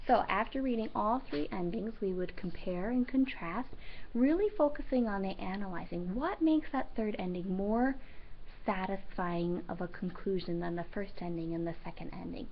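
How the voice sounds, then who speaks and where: A woman teacher reading a children's book aloud and commenting on it in an expressive, instructive way. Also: medium pitch, moderate pace, slight background noise. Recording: dull, lo-fi sound.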